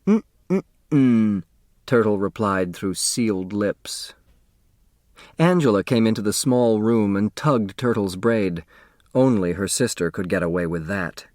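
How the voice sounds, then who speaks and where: A man narrating a story as an audiobook reader, expressive and scripted. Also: lowish voice, measured pace, no echo, studio quality.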